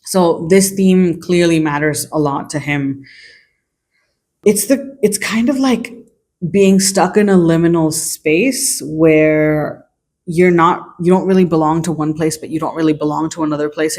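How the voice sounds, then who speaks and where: A young adult book reviewer, raised in China, talks through a novel's themes in a relaxed, thoughtful way. The pitch sits mid-range, and the speech is full of small hedges and restarts. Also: unhurried. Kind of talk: casual video book review.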